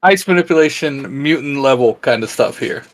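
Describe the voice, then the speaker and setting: A man's voice: medium pitch, expressive, moderate pace. A man co-hosting an informal geek discussion, sharing ideas in a conversational, chatty way. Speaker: channel co-host.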